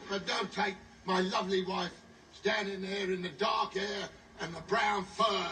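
A man's voice: sits high, steady tone, measured pace, bright, forceful tone, distant mic. An actor playing a cheeky, scheming caveman father in broad theatrical sketch comedy, with punchline-driven delivery. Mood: mock pleading. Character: cheeky scheming caveman father, mock-pleading comic husband. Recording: echoey, noisy TV playback filmed off a screen.

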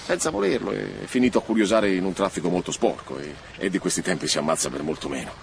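An actor playing a wry, cautious, grumbling police marshal, sidekick to a commissioner in a radio drama. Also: male voice, low voice, lively intonation, fast talker, creaky. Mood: cautious, uneasy. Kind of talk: expressive radio drama acting. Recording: noisy radio drama, street ambience.

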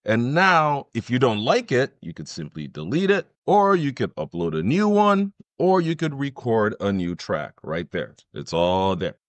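A man in his forties hosting an enthusiastic, emphatic software tutorial. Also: mid-range voice, sing-song, unhurried, hardly any pauses, no room sound. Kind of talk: enthusiastic scripted-sounding screen tutorial.

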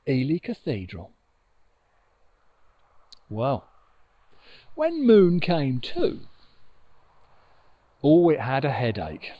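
An older man telling a story conversationally and unhurriedly, with dramatic pauses, outdoors on a lapel mic. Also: medium pitch, dry recording, muffled recording. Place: outdoors by a river in open countryside. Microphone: lapel mic with furry windshield.

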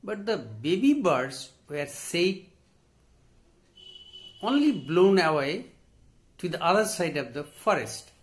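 An older man with an Indian English accent takes his time over a children's lesson text. His voice sits fairly high for a man and rises and falls freely. He phrases everything in short, deliberate pieces, as a teacher reading to students would. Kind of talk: story read aloud and summarised for students. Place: small indoor study with bookshelves.

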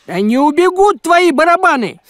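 An actor playing a thrifty, bossy talking cat in an animated series, with an officious manner. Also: very high-pitched, normal speed, music in the background. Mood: scheming. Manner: businesslike, officious, scheming.

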